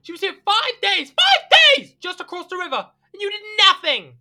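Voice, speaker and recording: A man's voice: very high-pitched, huge pitch swings, moderate pace, sharp tone, soft background music, heavily acted. An actor playing a petulant, imperious young king in an audio drama, whiny and theatrical. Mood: outraged, petulant. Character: petulant, excitable young French king, easily outraged.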